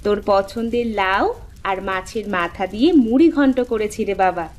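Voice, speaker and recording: A woman playing a gentle, patient mother of grown sons in a fairy-tale cartoon. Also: medium pitch, moderate pace, close to an everyday voice. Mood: tender.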